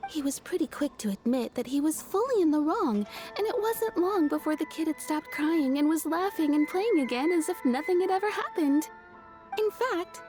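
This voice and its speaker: A woman voicing a cheerful young townswoman in a video game who tells stories in a chatty, amused way. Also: high voice. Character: cheerful young woman of the town, fond storyteller. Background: soft game music.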